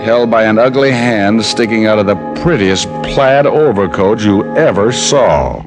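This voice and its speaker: An actor playing a wry, world-weary American private detective in 1950s radio drama style. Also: male voice, fairly low pitch, a little slow, natural voice. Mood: sardonic narrating. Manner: wry, flippant. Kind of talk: 1950s radio drama acting, first-person noir narration. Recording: old radio broadcast with music.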